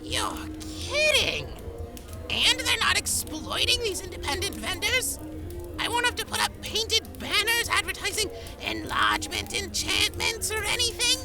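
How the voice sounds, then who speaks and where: A woman playing an exasperated, excitable fantasy market vendor in a comic ad sketch, dramatic and exclaiming. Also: very high-pitched, unhurried, heavily acted. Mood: amazed. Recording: music behind the voice. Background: music.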